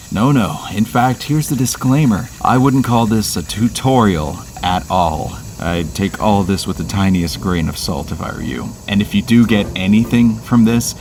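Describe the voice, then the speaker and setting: A man's voice: sits low, a little mellow, slight background noise. A man hosting a do-it-yourself video with casual, wry, self-deprecating voice-over narration.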